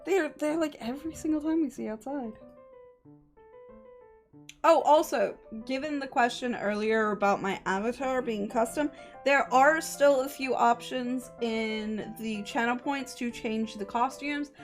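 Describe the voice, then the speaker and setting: A woman's voice: on the high side, measured pace. A woman livestreamer reading a visual novel aloud and chatting casually, with playful, sweary asides. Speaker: livestreamer, VTuber. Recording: music behind the voice.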